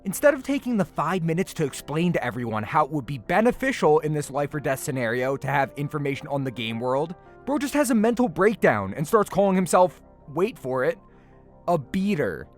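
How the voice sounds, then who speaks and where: A man's voice: on the high side, big pitch swings, fairly quick, faint music behind. A man delivering scripted anime review commentary with casual asides, in a sarcastic, exasperated and emphatic manner.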